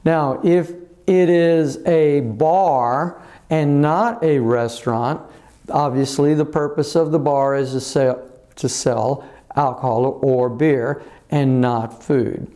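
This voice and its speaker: An older man, an attorney, explaining the law to camera in a measured way with frequent pauses, recorded on a lapel mic in an office. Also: medium pitch, dark tone. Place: office with bookshelves, indoors.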